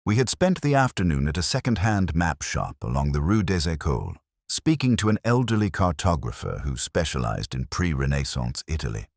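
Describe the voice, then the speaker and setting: Very deep-voiced male storyteller. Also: no room sound, clean studio sound. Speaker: audiobook narrator. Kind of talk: scripted story narration.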